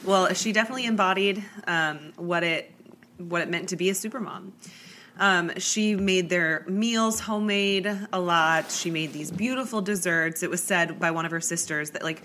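A woman's voice: lowish voice, moderate pace, pushed and bright, a little hiss or hum. A young woman with a cold, hosting a true crime podcast in a chatty style with many fillers. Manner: chatty, lots of likes and ums. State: congested with a cold. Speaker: podcast host.

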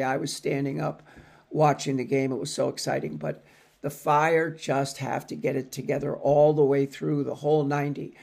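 A man's voice: fairly high pitch, creaky, darkish tone. A man giving a rambling, self-correcting take on his soccer team's latest match as a podcast contributor. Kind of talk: casual sports commentary.

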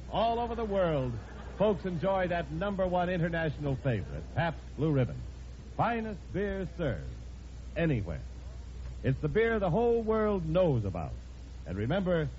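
A man's voice: fairly high pitch, darkish tone, slight background noise. An American man announcing an old radio show and reading its sponsor messages in 1950s style. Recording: muffled old radio recording, music behind.

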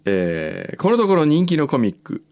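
A man's voice: fairly high pitch, wildly swooping pitch, moderate pace, darkish tone, dry recording. A man hosting a radio show, chatting casually and reading out messages from listeners.

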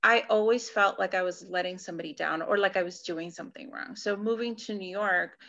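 A woman in her forties from California talks through personal experiences in a reflective, searching way, peppering her thoughts with "like" and "right?". Her voice sits at a medium pitch. The recording sounds a bit dull and lo-fi. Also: brisk, fairly bright tone. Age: 40s. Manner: reflective, thinking aloud with frequent "like" and "right?". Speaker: podcast co-host. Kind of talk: casual personal chat.

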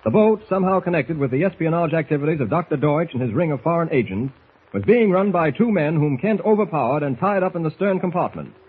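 A man's voice: a little high, animated, fast talker. A man narrating a 1940s radio adventure serial in a dramatic, declamatory manner. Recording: tinny, rough old radio recording.

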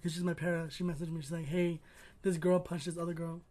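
A man co-hosting a podcast in casual chat, expressive and quick to laugh. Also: fairly high pitch. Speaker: podcast co-host.

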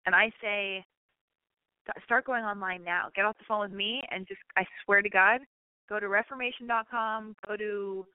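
A woman's voice: medium pitch, steady tone, normal speed, smooth, no room sound. A woman hosting a call-in show, giving blunt, emphatic advice to callers. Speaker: radio call-in host. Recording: phone-like narrow sound.

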